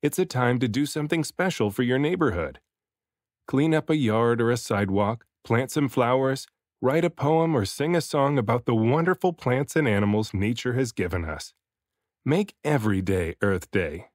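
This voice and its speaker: A man narrates a picture book for young children with expressive emphasis. His medium-pitched voice rises and falls in a lively, animated way. The sound is dry and close, with very little room. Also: moderate pace, dry recording. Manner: expressive, varies delivery for emphasis. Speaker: children's book reader, dad and voice actor. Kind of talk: read-aloud storybook narration.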